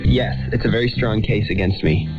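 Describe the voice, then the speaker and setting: A man's voice: lowish voice, fairly quick, creaky. An American man in his thirties telling a personal story in a reflective, wry way. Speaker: storyteller and host. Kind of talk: personal documentary narration and family chat. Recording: dull lo-fi radio sound, music behind.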